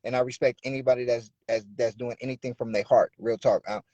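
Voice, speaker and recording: A man's voice: mid-range voice, fairly even intonation, fast, warm tone, no echo, highs cut off. A man in his thirties from the Bay Area, a rapper guest talking casually and often saying "you know what I'm saying". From Bay Area, California. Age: 30s. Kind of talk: casual interview talk.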